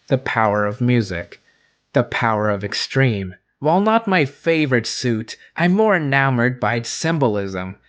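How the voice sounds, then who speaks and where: Male video reviewer, mid-range voice, casual scripted recap delivery. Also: medium pitch.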